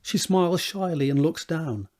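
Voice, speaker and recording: A man narrating a scripted drama, setting each scene in a measured, descriptive read with a husky voice. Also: on the high side, a little mellow.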